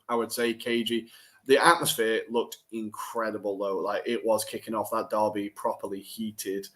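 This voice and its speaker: A man co-hosting a podcast, talking through football results in a loose, animated way. He is blunt with his opinions and slips into laughter easily. His voice is mid-pitched and ordinary. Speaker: podcast co-host. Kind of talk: casual football recap chat.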